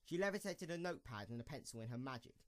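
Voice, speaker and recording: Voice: mid-range voice, expressive, rapid-fire, no echo, clean studio sound. A narrator reading scripted storybook-style narration for an amateur audio drama.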